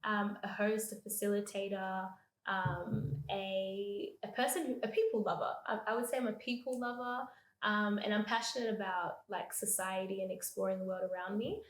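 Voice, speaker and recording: A woman's voice: mid-range voice, normal speed. Chatty young Australian woman, echoey room. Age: 20s. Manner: expressive, chatty, thinks aloud with fillers. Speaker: podcast guest. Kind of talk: casual chat.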